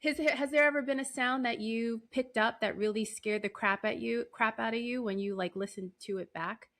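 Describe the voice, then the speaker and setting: A woman's voice: fairly high pitch, fairly even intonation, a little fast, a bit bright. A woman hosting a podcast, putting questions to a guest in a casual interview style. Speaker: podcast host.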